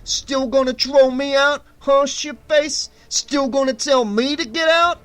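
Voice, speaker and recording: A man voicing a burly, violent male thug who shouts curses in a Spanish-tinged accent. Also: extremely high pitch, fairly even intonation, unhurried, voice pushed up. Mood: menacing, shouting. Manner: shouting, snarling insults.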